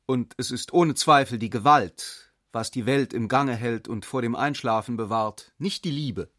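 A man reads a reflective literary passage for a radio drama, pondering and questioning as he goes. His voice is mid-pitched and sits close and dry on a studio mic. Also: unhurried, a bit bright, dry recording. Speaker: reader of an essayistic passage.

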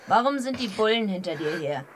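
A woman's voice: medium pitch, lively intonation, fairly quick, a little hiss or hum, lightly acted. An actress playing a big, blunt, streetwise female wrestler and night guard in a German radio drama, brusque and confrontational. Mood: gruff, dismissive.

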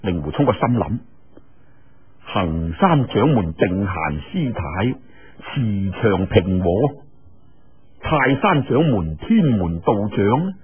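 Slow, highly animated male Cantonese storyteller. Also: breathy edge, muffled recording. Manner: colloquial, expressive, with trailing sentence particles. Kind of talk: traditional dramatic storytelling narration.

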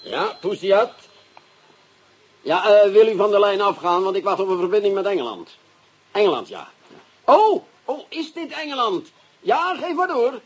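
An actor playing an impatient Amsterdam police detective in a radio play, who speaks in halting English on the phone. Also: male voice, high voice, very animated, slightly rough, exaggerated voice. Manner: impatient, brusque, broken English. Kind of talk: radio drama acting.

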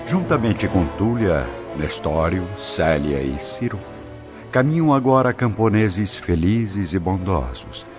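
A man's voice: low voice, big pitch swings, slow pace. A man giving scripted, dramatic narration for a radio drama. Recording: dull lo-fi audio. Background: prominent background music.

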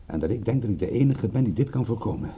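Mellow, gruff private detective played by a man. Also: fairly low pitch, animated, fast, lo-fi sound, a little hiss or hum, lightly acted. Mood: sincere, determined. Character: stubborn, irritable male private detective, wry and principled. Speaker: actor in a radio play. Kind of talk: radio drama acting.

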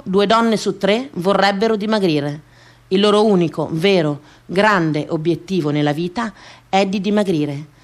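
Woman, radio theatre monologue, middle pitch, deliberate delivery, incantatory repeated phrases, scripted and dramatic. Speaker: actress performing her own theatrical monologue.

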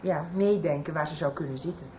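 A woman's voice: low voice, fairly quick, darkish tone, a little hiss or hum, close to an everyday voice. An actress playing a worried but determined mother in a 1990s Dutch radio soap. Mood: anxious.

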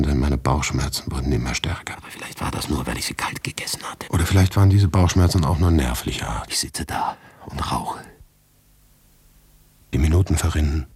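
A morbid, harried writer in a literary radio play, speaking in a very low, husky voice. The delivery is deliberate and dry, sometimes sinking toward a whisper, recorded close and without any room sound. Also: male voice, a little mellow, no echo, full character voice. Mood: uneasy, brooding. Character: morbid, harried male writer recounting his grim predicament. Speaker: actor narrating as the protagonist. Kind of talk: literary radio drama monologue, first-person narration.

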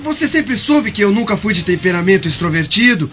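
An indignant, proud young Roman nobleman in a radio drama, formal and defensive. The voice is very high for a man and sharp. It comes through a dull recording with music behind. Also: big pitch swings, brisk.

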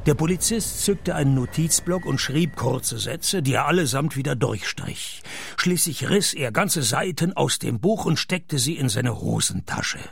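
A man's voice: mid-range voice, lively intonation, a little slow, creaky, a bit bright, breathy edge, heavily acted. An actor playing a laconic, wry male private detective in a German-language radio play, dry and ironic as he narrates and talks. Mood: dry narration.

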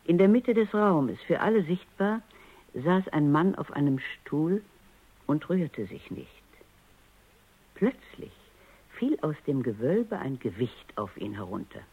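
An actress playing a stern, coolly analytical robot psychologist in a radio drama, precise, commanding and didactic. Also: female voice, sits low, sing-song, measured pace, breathy edge, exaggerated voice. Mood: measured narration. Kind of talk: German radio drama acting. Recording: muffled, dull radio drama recording.

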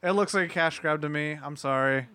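A man's voice: on the high side, fairly quick, sharp tone, smooth, dry recording. A man speaking as a panelist in a casual podcast discussion, earnest and emphatic about his points.

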